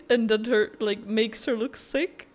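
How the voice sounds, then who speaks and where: A woman's voice: fairly high pitch, slow pace, soft background music. Young woman giving casual gaming commentary. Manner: rambling, informal, giggly. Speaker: gaming video creator.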